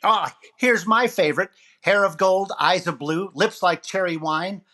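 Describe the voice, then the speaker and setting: A man's voice: high-pitched, unhurried, sharp tone, heavily acted. A man playing a brash, wisecracking comedian as a jive-talking disc jockey in 1940s radio comedy style, with showy, punchy patter. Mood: upbeat, showy.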